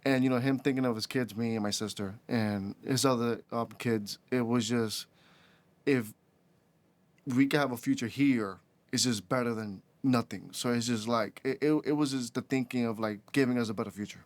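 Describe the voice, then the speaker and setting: A man in his twenties from the Dominican Republic, a podcast guest who tells his story in a halting way with many restarts. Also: mid-range voice, dry recording. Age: 20s. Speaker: podcast guest, rideshare driver. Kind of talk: casual interview chat.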